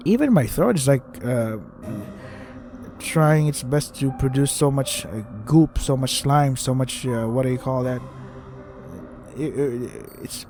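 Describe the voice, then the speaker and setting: A man's voice: mid-range voice, dark tone. A man reading a visual novel aloud as a gaming host, crude and irritated, stumbling over his words as he rants.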